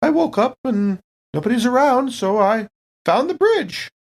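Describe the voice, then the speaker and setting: A man's voice: very high-pitched, expressive, unhurried, full character voice. A man voicing a genteel, scatterbrained lady android tourist in a tabletop game, polite and rambling. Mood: kindly, apologetic. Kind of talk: tabletop role-play acting.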